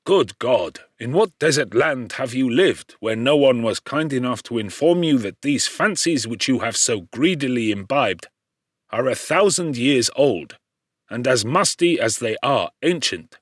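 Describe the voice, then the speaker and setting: Man acting a scornful, gruff professor, emphatic and pompous. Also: medium pitch, animated, measured pace, no echo, studio quality. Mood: heated scolding. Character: gruff, squat, scornful male science professor.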